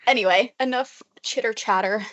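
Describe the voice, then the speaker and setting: Young woman podcast co-host, rambling with fillers, higher voice, muffled lo-fi sound. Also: moderate pace, fairly bright tone. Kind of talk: casual chat. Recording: muffled lo-fi recording.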